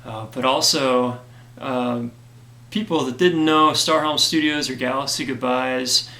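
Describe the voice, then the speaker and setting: Guy getting over a cold, voice kinda cracked and gravelly, talking thankful and earnest to his supporters, roomy echo. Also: mid-range voice, steady tone, a little slow, sharp tone. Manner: earnest and grateful, many fillers. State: hoarse from a cold. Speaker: comic creator giving a campaign update. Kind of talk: casual direct-to-camera talk.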